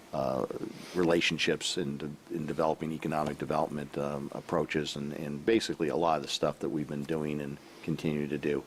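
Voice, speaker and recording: A man giving a halting report at a council meeting, full of fillers and restarts, while losing his voice. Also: rumbling low. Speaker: city staff member. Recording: faint background noise from a live stream.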